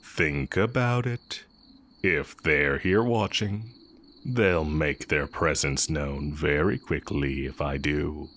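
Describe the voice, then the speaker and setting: Man playing a very deep, sly dark mage. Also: slight background noise, full character voice. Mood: sly, menacing. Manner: unhurried, musing, taunting drawl with drawn-out pauses. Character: sly heretic dark magic teacher, a man, coolly teasing. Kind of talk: intimate audio roleplay acting.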